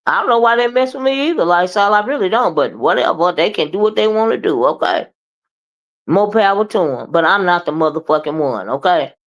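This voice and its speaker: Woman, loud and boisterous, blunt and profane, moody livestream ranter. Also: on the low side, animated, brisk, rough recording. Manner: blunt, profane, keeps asking "okay?" and "right?"; moody, confrontational. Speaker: live streamer and commentator. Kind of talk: ranting live commentary.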